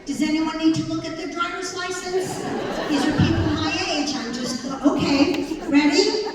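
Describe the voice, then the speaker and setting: A woman's voice: very high-pitched, steady tone, normal speed. Older woman doing stand-up in an echoey theater. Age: 70s. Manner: chatty, self-deprecating, works the crowd. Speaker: stand-up comedian. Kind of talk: stand-up comedy set. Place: large theater stage. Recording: echoey theater recorded from far off. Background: audience laughter.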